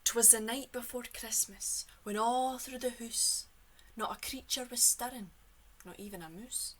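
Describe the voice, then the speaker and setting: Bright-voiced Scottish woman reading a bedtime story. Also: on the high side, measured pace.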